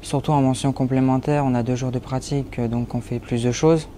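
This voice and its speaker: A man's voice: medium pitch, flat delivery, brisk, dark tone, a little hiss or hum. A nineteen-year-old man, an apprentice baker, describing his training and goals with frequent hesitations. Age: young adult. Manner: hesitant, many fillers. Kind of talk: interview portrait.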